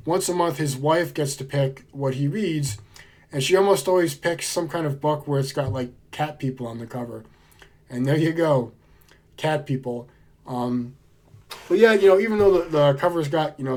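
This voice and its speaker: Hoarse, chatty man raving about old books. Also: a little high, darkish tone. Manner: enthusiastic, chatty, laid-back. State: hoarse from a cold. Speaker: book vlogger. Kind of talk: casual book haul chat.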